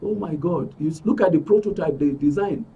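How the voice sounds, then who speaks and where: A man with a Nigerian accent and a husky voice giving an emphatic account as an interview guest, repeating phrases for stress. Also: a little high, brisk, recorded from a distance, muffled recording. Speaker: interview guest, club member. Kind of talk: spoken account in a broadcast interview.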